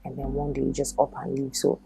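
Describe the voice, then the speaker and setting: A woman's voice: extremely low pitch, completely flat delivery, fast talker, gravelly, music in the background. An actress playing a troubled, guarded and emotionally weary woman in an earnest film drama. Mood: hesitant, sad.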